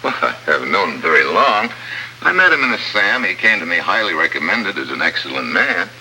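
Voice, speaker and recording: An actor playing a tough, cool American undercover agent in 1950s radio drama style, clipped and wry. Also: male voice, low voice, sing-song, brisk, bright, forceful tone, exaggerated voice, poor audio. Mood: guarded. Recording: old radio recording, faint noise.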